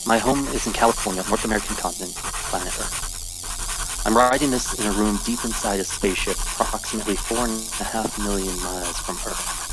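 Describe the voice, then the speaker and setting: Man acting grave, low-voiced radio drama narrator. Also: loud music behind the voice, natural voice, poor audio. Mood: grave, reflective. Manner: measured, grave, deliberate. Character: sober, ordinary math teacher recounting an alien abduction. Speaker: lead actor.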